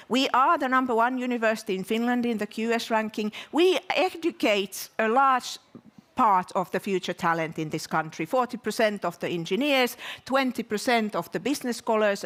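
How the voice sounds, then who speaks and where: Woman giving a halting welcome speech. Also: lowish voice, a bit bright. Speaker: university provost. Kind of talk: welcome speech to an event audience. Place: live event venue at a university.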